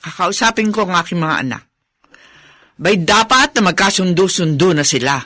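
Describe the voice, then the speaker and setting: A woman's voice: on the low side, lively intonation, moderate pace, a bit bright, dry recording, clean studio sound. An actress playing an exasperated but caring older mother and grandmother in a Filipino radio drama. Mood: concerned.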